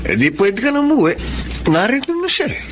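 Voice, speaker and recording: A man's voice: very high voice, very animated, brisk. An actor playing a pushy, possessive young man with a Filipino accent in a radio drama. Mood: jealous, sulky. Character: pushy, possessive young male rival suitor. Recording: clear background ambience noise.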